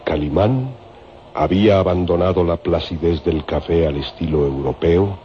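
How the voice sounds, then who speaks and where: Deep-voiced male radio serial narrator. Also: normal speed, a little hiss or hum. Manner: solemn, descriptive storytelling. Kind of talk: dramatic scripted narration. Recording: dull radio recording with music.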